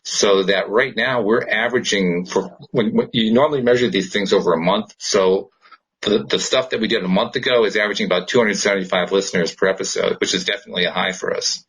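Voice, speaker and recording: A man's voice: lowish voice, fast talker, a bit bright, slightly rough, rough recording. A man co-hosting a podcast in a casual, reflective way, often rambling and searching for words. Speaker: podcast co-host. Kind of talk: casual spiritual chat.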